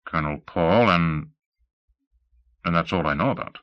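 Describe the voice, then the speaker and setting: A male narrator voicing a cautious, dryly amused rich man who asks measured questions in an audiobook. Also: low-pitched, dry recording, highs cut off, lightly acted. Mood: wary. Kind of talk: audiobook character voice.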